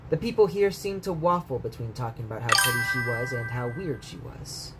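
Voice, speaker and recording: A man's voice: mid-range voice, a little fast, loud music behind the voice. A man reading a bedtime story aloud as a storyteller, in scripted narration. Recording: clear background noise.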